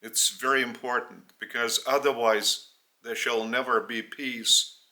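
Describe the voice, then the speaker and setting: A man with a Lithuanian accent delivers a spiritual teaching in English, his first language being Lithuanian. He speaks very slowly, breaking his sentences into short, halting phrases. His voice has a very bright, forceful edge. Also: medium pitch, fairly even intonation, poor audio. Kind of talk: spoken religious teaching in English.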